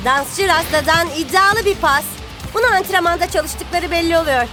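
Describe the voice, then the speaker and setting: A brisk female cartoon match announcer. She is emphatic and a little exasperated, and her voice sits very high. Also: music in the background. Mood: announcing. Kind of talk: cartoon sports commentary.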